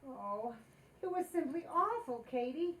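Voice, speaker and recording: Woman as a swooping, high-voiced sitcom housewife. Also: animated, measured pace, fairly bright tone, soft background music. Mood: wry. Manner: wisecracking, theatrical. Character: scheming, excitable redheaded housewife with dry wit. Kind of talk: old-time radio sitcom acting in community theater.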